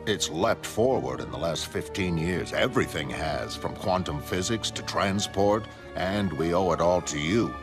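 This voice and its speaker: A man's voice: sits low, lively intonation, a little slow, few pauses, voice pushed up. Man voicing urbane, confident genius millionaire. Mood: proud, gracious. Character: urbane, self-made genius millionaire, smoothly confident retired hero. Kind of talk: motion comic character acting. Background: music.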